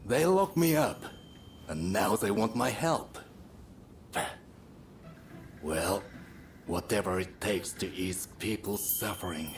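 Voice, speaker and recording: Cutscene physician: grave, blunt, compassionate underneath, deep register and unhurried pace. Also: male voice, animated, a little hiss or hum. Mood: gruff, weary. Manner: measured, blunt. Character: grave, principled male doctor, gruff but compassionate. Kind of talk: video game cutscene acting.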